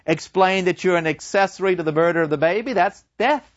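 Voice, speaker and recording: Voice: medium pitch, a little fast, no echo, voice pushed up, lightly acted. A storyteller voicing a scheming devil, taunting and sardonic, in a dull, dry lo-fi recording. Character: mocking scheming devil, gleeful.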